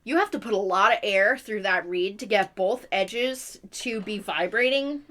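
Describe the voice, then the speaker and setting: A woman's voice: medium pitch, a little slow, a bit bright. A young adult woman chatting casually as a live streamer, explaining things in a rambling way. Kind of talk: casual chat.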